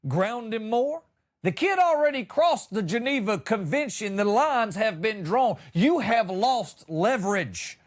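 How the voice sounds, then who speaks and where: A middle-aged man delivers a comic storytelling monologue in a fairly high voice. His pitch swings widely as he builds wry exaggerations and lands emphatic punchlines, like a seasoned comedy podcast host.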